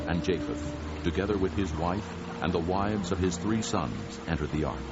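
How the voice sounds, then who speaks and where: Really deep guy reading bible story, solemn, lots of rise and fall, music behind. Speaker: narrator. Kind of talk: scripted dramatic scripture narration. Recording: dull sound with music underneath.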